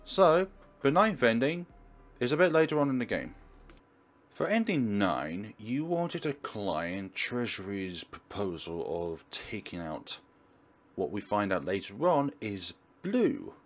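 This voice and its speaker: Very deep-voiced man, lively commentary. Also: measured pace, soft background music. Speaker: let's player. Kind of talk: game commentary and walkthrough.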